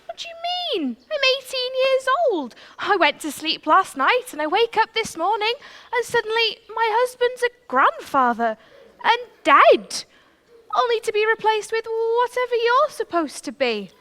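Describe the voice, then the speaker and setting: An indignant young fairy-tale princess, played by a woman on the pantomime stage. Her voice is extremely high and leaps about in pitch. She sounds haughty and theatrical. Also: big pitch swings, unhurried, sharp tone, exaggerated voice. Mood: bewildered, indignant.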